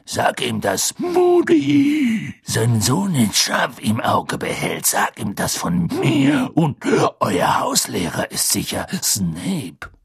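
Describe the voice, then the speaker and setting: A man voicing a gruff, menacing old wizard teacher in an audiobook, with drawn-out growling delivery. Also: a little high, huge pitch swings, no room sound, studio quality, pitched above the performer's own voice, heavily acted.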